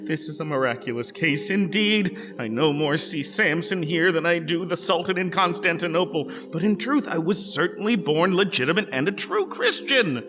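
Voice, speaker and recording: An actor playing a self-important town governor in a stage farce, with a pompous, declamatory manner. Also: male voice, on the high side, expressive, moderate pace, hardly any pauses, lightly acted. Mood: amazed, uneasy. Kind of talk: theatrical staged reading. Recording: reverberant hall, music underneath.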